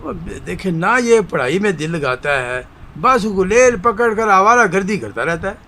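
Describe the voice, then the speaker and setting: A man's voice: sits high, lively intonation, a little slow, pushed and bright, full character voice. An actor playing an exasperated shopkeeper father who scolds and complains emphatically in an Urdu radio drama. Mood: complaining. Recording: faint background noise.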